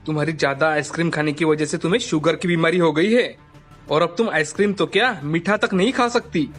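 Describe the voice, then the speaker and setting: A fretting young husband from a moral tale, acted by a man. His voice sits a little high, and he is caring but easily exasperated. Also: brisk, fairly bright tone, faint music behind. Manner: scolding, caring.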